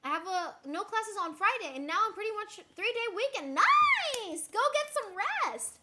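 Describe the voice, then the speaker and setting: A woman livestreamer with a very high, bright voice talks casually to her chat in an echoey room. Her delivery is playful and expressive, with a slight Puerto Rican accent from her native Spanish. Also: moderate pace. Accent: slight Puerto Rican, Americanized. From Puerto Rico. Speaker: livestreamer reading and answering chat.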